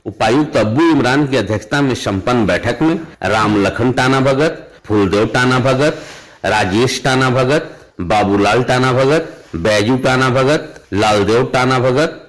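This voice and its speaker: A man's voice: medium pitch, measured pace, poor audio. A man delivers a scripted news report as a correspondent, speaking formally.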